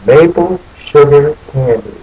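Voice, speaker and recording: A man reading a children's picture book aloud in a folksy, playful, drawn-out way with little asides. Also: on the high side, animated, dull audio, slight background noise. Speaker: storybook reader.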